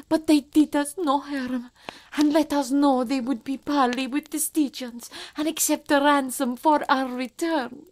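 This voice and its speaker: A man's voice: very high-pitched, a little hiss or hum, pitched above the performer's own voice. A man doing a frightened young noblewoman. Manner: breathless pleading, hushed.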